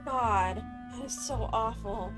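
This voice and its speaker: A woman's voice: a little high, slow. A woman streaming a video game, reacting casually as she plays. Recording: game music clearly behind the voice.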